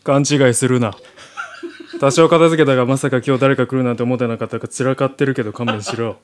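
Man acting a curt, gruff enemy soldier. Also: mid-range voice, steady tone, fast talker, a little hiss or hum, close to an everyday voice. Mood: brusque, flustered. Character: gruff male enemy soldier, secretly soft-hearted. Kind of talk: comic amateur radio drama acting.

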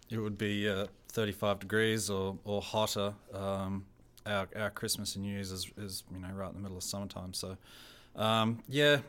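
Laid-back Australian man, lowish voice. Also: smooth. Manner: relaxed, chatty, laughs often, restarts phrases. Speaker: theater technical director, guest. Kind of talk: casual radio interview.

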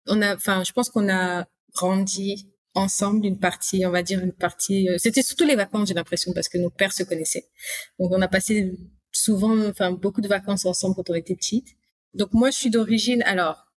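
A woman in her late thirties, a guest in a casual interview, chatty and rambling with many fillers and restarts. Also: mid-range voice. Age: late 30s. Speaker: podcast guest.

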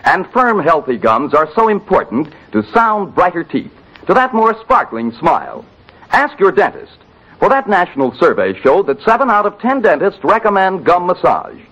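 A man's voice: high-pitched, very animated, moderate pace, a bit bright. A man announcing a scripted 1940s radio commercial. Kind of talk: 1940s radio advertising read. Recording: muffled vintage radio broadcast.

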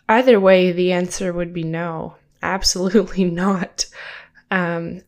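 Stuffed-up young woman chatting on a podcast. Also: mid-range voice. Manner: chatty and upbeat, with quick laughs. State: stuffed-up, congested voice. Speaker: podcast host. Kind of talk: casual solo podcast talk.

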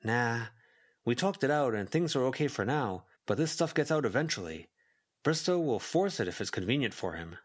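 A wary teenage student who knows the score, read by a man. The delivery is explanatory and conversational storybook dialogue with a lively, moving pitch. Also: moderate pace. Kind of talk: audiobook character dialogue.

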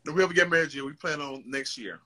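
A man's voice: fairly high pitch, fast, pushed and bright. A man in his early thirties, a guest in a casual interview, talking in an easygoing way. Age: 30s.

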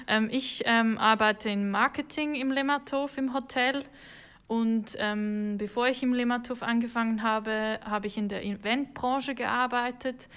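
A woman's voice: on the high side, steady tone, unhurried, a bit bright, clean tone. A woman from Switzerland with a Swiss German accent, chatting casually as an interview guest. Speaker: podcast guest, hotel marketing employee.

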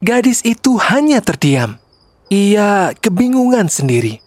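A woman's voice: medium pitch, big pitch swings, measured pace, a little mellow, dry recording. A woman narrating a scripted children's story as a storyteller. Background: faint music.

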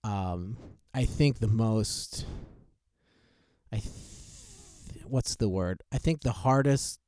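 A man co-hosts a casual podcast, his voice sitting a little low. He rambles with lots of fillers and restarts, recorded close and dry with no room sound. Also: animated, extremely slow, a little mellow, dry recording. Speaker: podcast co-host.

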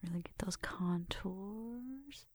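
Breathy, whispery flirty roommate played by a woman. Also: mid-range voice, takes it slowly, no echo. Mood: gentle, focused. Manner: soft whispering mixed with murmured talk, shy and teasing. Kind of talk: ASMR roleplay, intimate one-sided conversation.

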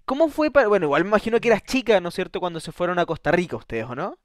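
A man's voice: high-pitched, lively intonation, rapid-fire, no echo, studio quality. A man hosting a radio interview, chatty and easygoing, often stumbling and restarting while tacking on questions like "right?". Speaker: radio show host. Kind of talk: casual interview.

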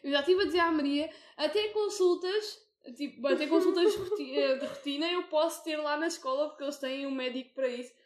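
A woman's voice: high voice, quick pace, few pauses, reverberant. A young woman with a European Portuguese accent, co-hosting a casual podcast chat, hesitant and full of fillers and restarts. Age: young adult. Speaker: podcast co-host.

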